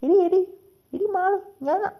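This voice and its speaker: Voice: very high-pitched, measured pace. A performer voicing a cheeky, teasing teenage boy in a comic cartoon, on a muffled, noisy track. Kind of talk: comic Malayalam cartoon dubbing. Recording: muffled lo-fi audio with noise.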